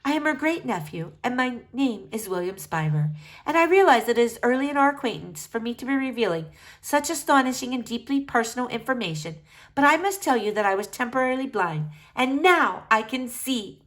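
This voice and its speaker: A woman plays an earnest storybook boy with a high voice that swings widely up and down. He speaks grandly and formally, full of theatrical effusion and long words. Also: expressive, a little fast, fairly bright tone. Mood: earnest, effusive. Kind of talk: acted children's storybook dialogue.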